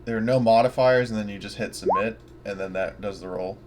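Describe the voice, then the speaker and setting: A man's voice: fairly low pitch, a little fast, darkish tone, slight background noise. A man playing a tabletop game, chatting casually and explaining things in a plain way.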